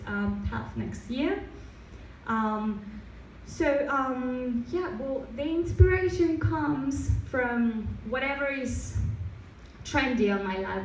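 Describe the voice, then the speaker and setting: A young woman from Mexico, a native Spanish speaker with a Mexican accent softened by Australian years, giving a casual design talk full of fillers and restarts. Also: on the high side. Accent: Mexican, with some Australian. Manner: many fillers and restarts, loose and chatty. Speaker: design presenter. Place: event room. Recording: roomy, distant, faint noise.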